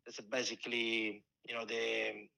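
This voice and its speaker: Man, Italian accent, forties, halting with restarts, bright tone. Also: on the low side, completely flat delivery, creaky, poor audio. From Italy. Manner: halting, many restarts and fillers. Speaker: football coach and scout. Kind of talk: casual interview answers.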